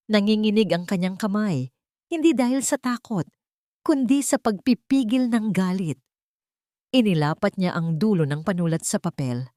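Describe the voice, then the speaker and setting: A woman's voice: on the low side, darkish tone, dry recording, studio quality. A woman reading a scripted dramatic story as a narrator.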